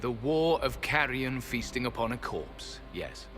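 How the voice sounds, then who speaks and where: An actor playing a solemn, proud ancient elf sentinel in a fantasy video game, speaking in a grave, formal and disdainful manner. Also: male voice, mid-range voice, big pitch swings, a little slow, music in the background.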